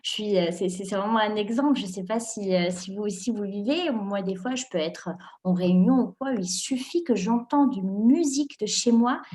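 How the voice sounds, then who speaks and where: A young woman, born and raised in Morocco, answering interview questions in an animated way, laughing often and restarting her sentences with many fillers. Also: mid-range voice, normal speed. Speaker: guest entrepreneur.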